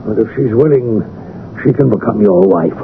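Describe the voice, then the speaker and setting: On a tinny old radio broadcast, a possessive gentleman schemer. His gravelly voice swings widely in pitch, halting and mannered as he pleads. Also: lively intonation. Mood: pleading, controlled. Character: intense, possessive male villain posing as contrite brother. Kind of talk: 1970s radio drama acting. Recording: narrow-band vintage radio, faint hiss.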